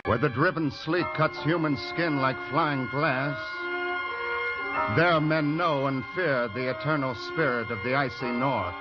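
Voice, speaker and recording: Casting: solemn radio drama narrator, very slow and portentous, dull vintage recording over loud music. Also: male voice, medium pitch. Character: solemn storyteller of a northern wilderness tale.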